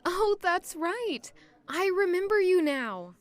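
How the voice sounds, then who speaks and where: A woman's voice: extremely high pitch, big pitch swings, slow, full character voice. A woman playing a reserved, modest and wistful young palace maid in an audio drama, with a polite, understated way of talking. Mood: surprised, friendly. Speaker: narrator and lead character of audio drama. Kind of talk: audio drama acting with first-person narration.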